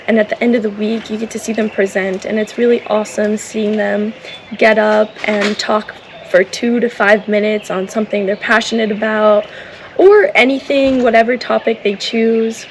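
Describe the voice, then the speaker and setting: A woman's voice: fairly high pitch, a little hiss or hum. A woman and native English speaker talking casually in a vlog, upbeat and enthusiastic about a volunteer program. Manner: upbeat, enthusiastic, repeats "awesome". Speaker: vlogger. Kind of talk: casual vlog talk to camera.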